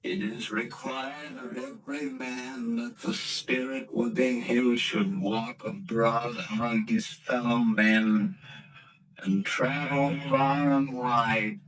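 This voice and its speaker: An actor playing the solemn ghost of a dead businessman in a vintage radio play, slow, grave and hushed. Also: mid-range voice, fairly even intonation, slight background noise, poor audio. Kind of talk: vintage radio drama acting. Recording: old radio play with music. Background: music.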